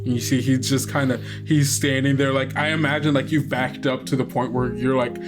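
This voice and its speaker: A man narrating a tabletop game as game master in a halting, conversational, amused way. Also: mid-range voice, level tone. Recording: guitar music under the voice.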